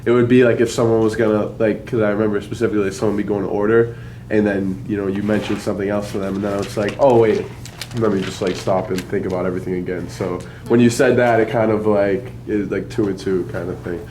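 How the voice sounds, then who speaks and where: Brief: young low-voiced man, rambling and hesitant, faint background noise. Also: flat delivery, fast talker, a little mellow, low-quality recording. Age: 20s. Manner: hesitant, many fillers. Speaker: workshop attendee asking a question. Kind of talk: rambling audience question.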